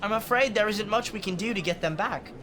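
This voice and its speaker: An actress playing an earnest, determined young investigator. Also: female voice, mid-range voice, fairly quick, background noise, close to an everyday voice.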